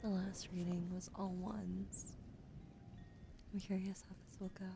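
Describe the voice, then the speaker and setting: A woman streamer giving a gentle tarot reading, often dropping into a whisper while she greets chat. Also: mid-range voice, flat delivery, measured pace, vocal fry, noisy background.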